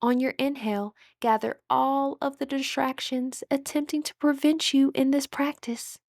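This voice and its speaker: High-pitched woman guiding a meditation. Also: fairly even intonation, moderate pace, creaky, darkish tone, no room sound, studio quality. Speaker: meditation guide. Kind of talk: guided meditation.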